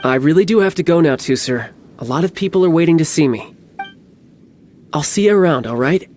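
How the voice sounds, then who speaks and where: A man's voice: on the high side, animated, brisk, a little mellow, slight background noise, close to an everyday voice. Man playing a grandiose young military commander. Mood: gentle, apologetic. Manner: grandiose speechmaking with awkward hesitations. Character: young male military commander, commanding yet doting big brother. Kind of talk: video game voice acting. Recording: music bed behind the voice. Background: background music.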